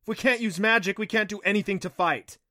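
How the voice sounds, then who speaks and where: Man voicing an impassioned, high-pitched boy hero. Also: fast, pushed and bright, no echo, clean studio sound. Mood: hopeless, urgent. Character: impulsive, hot-headed young boy hero. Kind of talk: audiobook character voice for a fantasy story.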